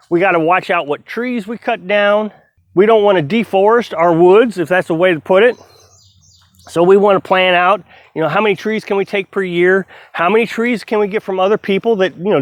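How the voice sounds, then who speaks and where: A man's voice: high voice, normal speed, no echo, clean studio sound. A middle-aged man vlogging outdoors on his homestead, talking casually and earnestly while he splits firewood. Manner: rambling, earnest, self-reflective.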